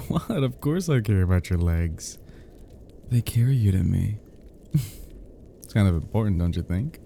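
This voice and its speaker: A man playing a cozy, teasing young male friend who is sweetly smitten, in an intimate ASMR roleplay, murmuring softly and hesitantly with chuckles. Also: fairly low pitch, expressive, a little slow, creaky, long pauses, a little hiss or hum. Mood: fond, amused.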